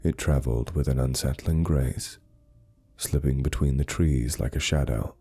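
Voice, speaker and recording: A man's voice: extremely low pitch, normal speed, creaky, dark tone, breathy edge. A man narrating a scripted horror story in a grave, suspenseful manner.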